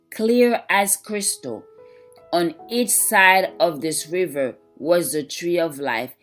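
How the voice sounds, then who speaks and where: A woman reads a scripted devotional in a fairly low voice. Her delivery is slow, measured and reverent, with faint music behind her. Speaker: devotional reader. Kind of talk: devotional reading of scripted religious text.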